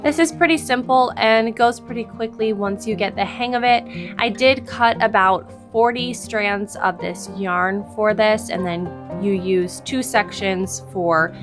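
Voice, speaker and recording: A woman in her thirties, a little hoarse from losing her voice, explaining a craft project step by step in a friendly voice-over. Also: medium pitch. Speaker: crafting channel host. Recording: voice-over with background music.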